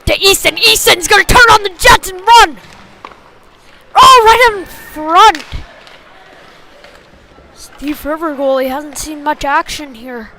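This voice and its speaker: A woman calling a youth hockey game live in short, clipped phrases, getting excitable on big plays. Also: very high voice, slow, long pauses, a little hiss or hum. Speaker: play-by-play hockey commentator. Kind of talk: live sports commentary.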